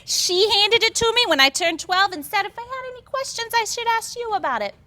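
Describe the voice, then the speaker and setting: An actress playing a chatty, wistful, put-upon 1950s housewife in a staged play reading, with an animated, expressive delivery. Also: female voice, extremely high pitch, fairly quick, no room sound, full character voice. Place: theatre stage.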